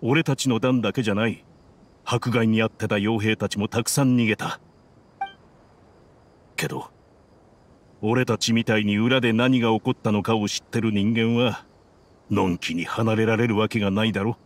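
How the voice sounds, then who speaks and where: A man voicing a somber, haunted mercenary in a video game, recounting things gravely and seriously. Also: fairly low pitch, fairly even intonation, moderate pace, long pauses, a little hiss or hum, natural voice. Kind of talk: dramatic game acting. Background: faint background ambience.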